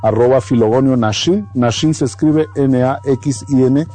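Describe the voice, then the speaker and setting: A man's voice: mid-range voice, moderate pace, warm tone, soft background music. A man from Oaxaca with a Mexican accent, a native Mazatec speaker, answering as an artist guest in a radio interview.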